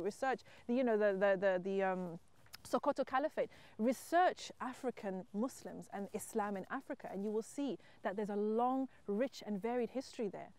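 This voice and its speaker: A woman's voice: fairly high pitch, darkish tone. Earnest woman from Zimbabwe, reflective. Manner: earnest, reflective, emphatic at times. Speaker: writer and entrepreneur. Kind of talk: personal interview monologue. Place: wheat field outdoors.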